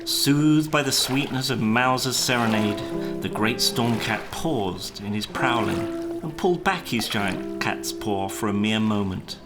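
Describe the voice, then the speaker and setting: A man reading a children's story as a narrator, in a measured, dramatic storytelling delivery. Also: lowish voice, expressive. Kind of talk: scripted storybook narration for a live puppet show. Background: plucked guitar music.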